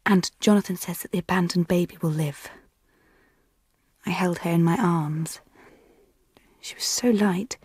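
Idealistic teenage girl, soft reflective monologue, breathy, very dry recording, radio drama. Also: lowish voice, unhurried, long pauses, no room sound. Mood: tender, reflective.

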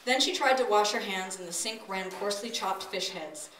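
A woman's voice: mid-range voice, moderate pace, crowd noise. A woman doing a live comic story reading in a storybook narrating manner, recorded from the audience in an open-sided wooden pavilion. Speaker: author reading own work aloud. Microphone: distant audience camera. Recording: echoey pavilion, distant noisy camera audio.

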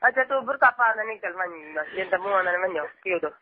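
A woman's voice: mid-range voice, expressive, a little fast. A woman talking casually as a caller in a phone conversation. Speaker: phone caller, older relative addressed as aunt. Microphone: telephone. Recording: tinny, band-limited phone line.

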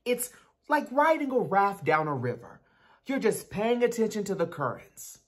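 A man's voice: sits high, big pitch swings, normal speed, fairly bright tone, exaggerated voice. A man in a dramatic book reading, playing an aloof, bookish genius teenage boy with a precise, pedantic delivery. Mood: instructive. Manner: pedantic, theatrical. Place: small bare room at home. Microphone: video call.

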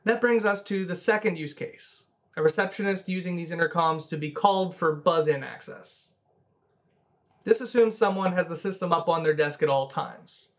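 A man in his thirties presenting a scripted, step-by-step software tutorial in a methodical, instructional way. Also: high-pitched, moderate pace.

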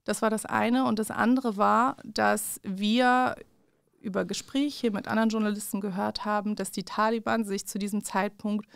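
A woman's voice: mid-range voice, fairly even intonation, moderate pace, vocal fry, clear, resonant tone, dry recording. A woman in her thirties from Afghanistan, a journalist guest speaking thoughtfully in a studio interview, with fillers and restarts, on a studio microphone. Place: small dark studio with curtains. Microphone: large studio mic on a stand.

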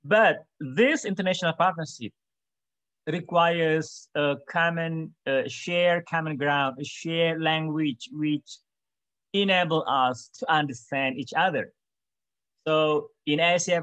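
A middle-aged man giving an academic webinar talk over a video call, measured, with many "for example"s and pauses. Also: mid-range voice, a bit bright, no echo. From Indonesia. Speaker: webinar speaker.

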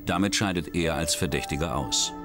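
Deep-voiced man narrating over music. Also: normal speed. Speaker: documentary narrator. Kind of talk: scripted narration.

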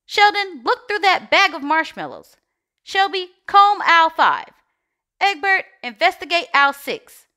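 A woman plays an anxious, bossy egg from a storybook. The voice is high and bright, with big dramatic swings as it frets and gives orders. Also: big pitch swings, measured pace, heavily acted. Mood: bossy, urgent. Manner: dramatic, exclaiming, fretful. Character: Shell, anxious bossy egg rallying missing friends. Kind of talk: storybook character read-aloud.

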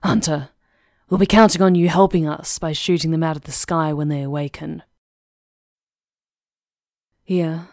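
A woman's voice: lowish voice, darkish tone, no echo. Woman playing a composed, sly witch, dry close sound. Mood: instructing. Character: composed, sly female witch conspirator plotting against a countess. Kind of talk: ASMR roleplay acting.

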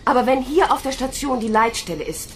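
A woman's voice: high voice, lively intonation, fairly quick, faint music behind. A woman playing a stubborn but insecure young female recruit in a German science fiction radio drama. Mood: pleading, hesitant.

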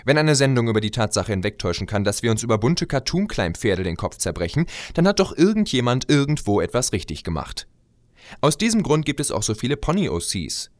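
A man delivering a scripted opinion monologue as a video blogger, conversational and expressive with rhetorical asides. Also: medium pitch, brisk, no room sound. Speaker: video blogger and fan audio drama maker.

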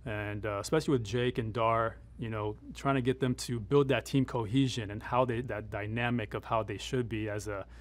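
A middle-aged man speaking as a military advisor in an interview, explaining things in a conversational, measured, matter-of-fact way. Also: fairly low pitch, steady tone. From United States, born in Afghanistan. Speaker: military advisor and former Green Beret.

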